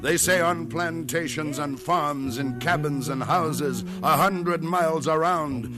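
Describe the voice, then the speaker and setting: An actor narrating as a solemn folk storyteller in a rhythmic, poetic 1950s radio drama, with music behind. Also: male voice, fairly high pitch, takes it slowly. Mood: grave, building. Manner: rhythmic, incantatory. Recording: old radio recording with loud music.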